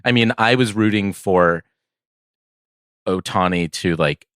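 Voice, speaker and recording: Male sports podcast co-host, low voice, casual and conciliatory, feels his way through opinions. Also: slow pace, fairly bright tone, no echo, clean studio sound.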